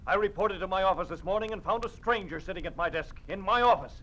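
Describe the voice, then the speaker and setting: An outraged, ousted minister in a classic radio drama. His high voice races along in flustered, formal phrases. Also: fast talker, pushed and bright, rough recording. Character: indignant, ousted male government minister. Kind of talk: old-time radio drama acting.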